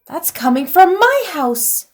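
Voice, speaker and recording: An excitable young troll boy , put on by a woman reading aloud shouts in a voice pitched well above the reader's own. He is loud and lively. He speaks in quick storybook bursts. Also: full character voice. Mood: excited. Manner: high, loud exclamations.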